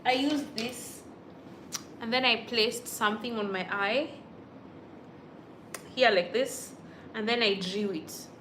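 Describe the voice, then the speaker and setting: A woman's voice: a little high, slow pace, pauses often, fairly bright tone, a little hiss or hum. A young woman from Kenya, chatting casually on a livestream and answering viewer comments as they come. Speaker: YouTube vlogger on a livestream.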